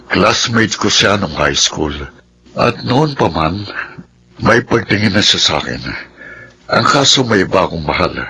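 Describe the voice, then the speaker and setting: Middle-aged stepfather, radio drama: low, clear-edged voice, wary and conflicted. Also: sharp tone, exaggerated voice. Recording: faint background noise.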